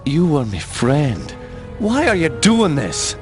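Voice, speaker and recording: An actor playing a grave, embittered adventurer hero in a video game, speaking with brooding intensity. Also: male voice, fairly high pitch, wildly swooping pitch, slow, over music, exaggerated voice. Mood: indignant, betrayed. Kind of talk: dramatic video game acting and narration.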